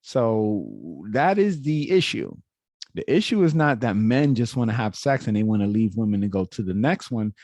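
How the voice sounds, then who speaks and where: A man talks into a close mic with a low, mellow voice and a very dry sound. He lectures in a casual, opinionated way, often restarting his sentences mid-thought. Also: big pitch swings, moderate pace, no room sound. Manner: lecturing, frequent restarts and self-corrections. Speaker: reaction video host.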